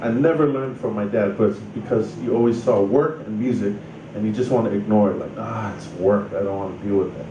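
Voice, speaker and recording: A man's voice: fairly low pitch, a little fast, muffled recording. A man in his thirties, from Samoa, casually telling his life story in a loose, rambling way full of "you know" and "like". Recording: roomy distant mic with noise.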